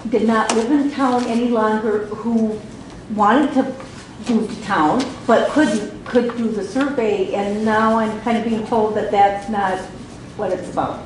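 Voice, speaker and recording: A woman at a public meeting asking halting questions about a survey. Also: on the high side. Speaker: taskforce member. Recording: echoey room from far off with noise.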